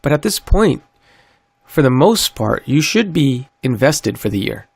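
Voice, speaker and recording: A man's voice: big pitch swings, unhurried, creaky, no echo. Stuffy-nosed man hosting a podcast. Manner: earnest, upbeat sales-pitch delivery. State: stuffy, recovering from a cold. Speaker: podcast host. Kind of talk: solo crypto podcast monologue.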